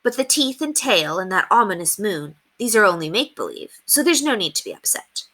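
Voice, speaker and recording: A woman's voice: mid-range voice, very animated, fairly quick, sharp tone. A woman voicing a kindly, earnest fifteen-year-old governess in a reassuring, determined way.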